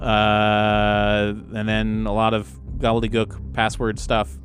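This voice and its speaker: A man's voice: on the low side, completely flat delivery, slow. A man playing a tabletop role-playing game, speaking informally at the table and sometimes reading game text aloud. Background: music.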